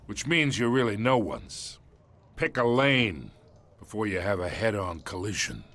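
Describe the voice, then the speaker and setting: A man's voice: fairly low pitch, animated, unhurried, a bit bright. An American man playing a swaggering, menacing older mob boss in a video game, folksy and theatrical. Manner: folksy, theatrical, veiled threats.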